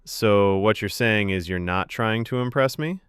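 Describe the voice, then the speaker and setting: A man's voice: lowish voice, a little slow, a bit bright, smooth, no room sound, studio quality. A man playing an easygoing young man who teases and bluntly coaches a rehearsal, in a fiction podcast. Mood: teasing.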